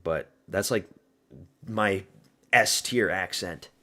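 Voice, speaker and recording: Southern-raised man, low-ish voice, casual profane stream host chatting with chat. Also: slow pace, bright, forceful tone. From American South. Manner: loose and profane, chatting with viewers. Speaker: streamer hosting a watch party. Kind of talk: casual live-stream commentary.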